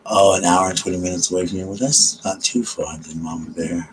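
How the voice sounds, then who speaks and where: A man with a very deep, low voice talks gently in a near-monotone, filling pauses with soft ums. He chats with his late-night viewers in a quiet ASMR style, and a faint hiss sits under his voice. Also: low-quality recording. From South Carolina. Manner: talks low and slow, many ums, greets viewers tenderly. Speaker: host of a late-night live chat stream.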